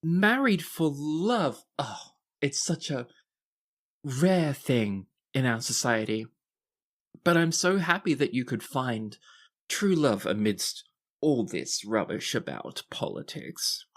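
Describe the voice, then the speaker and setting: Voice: medium pitch, animated, slow, pitched above the performer's own voice. A performer voicing a gushing, theatrically polite court aristocrat in a tabletop game.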